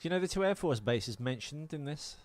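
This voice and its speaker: A man co-hosting a podcast in casual comic chat, rambling through anecdotes in a crude, jokey, halting way. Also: a little high, expressive, dry recording. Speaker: podcast co-host.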